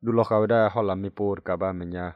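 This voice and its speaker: A man's voice: brisk, no room sound. A man giving a scripted news report as a radio reporter, on a dull recording. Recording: dull, limited-band radio sound.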